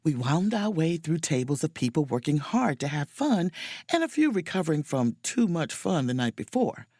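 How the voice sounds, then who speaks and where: An actress playing a wry, self-mocking adventurous officer, narrating and speaking in a first-person audio drama in a confiding, playful way. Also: female voice, deep voice, big pitch swings, normal speed, dark tone, breathy edge, no room sound, clean studio sound, heavily acted.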